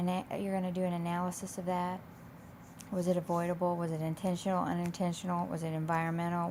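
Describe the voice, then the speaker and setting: Slightly hoarse woman teacher going over assignments, kinda low and gravelly, noticeable noise behind her. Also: mellow. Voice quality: slightly raspy. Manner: casual, loosely phrased, conversational. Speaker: college instructor. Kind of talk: informal explanation of course assignments. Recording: clear background noise.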